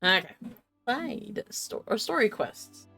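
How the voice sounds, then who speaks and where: Animated woman livestreamer chatting casually. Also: on the high side, takes it slowly, gravelly, soft background music. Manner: giggly, playful, chatting to herself and viewers. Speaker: game streamer, host. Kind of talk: casual livestream chat with viewers.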